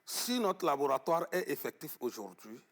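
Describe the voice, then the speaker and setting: A man speaks as a guest in a television studio interview, leaning hard on his points and stalling on fillers before a word comes. His voice is husky with a gravelly roughness. His pitch is fairly high and swings widely as he presses each point. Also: big pitch swings, moderate pace, a bit bright. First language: Arabic-educated, French not first. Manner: emphatic, hesitant fillers, repeats words. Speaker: guest on traditional knowledge. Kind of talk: studio interview, emphatic explaining.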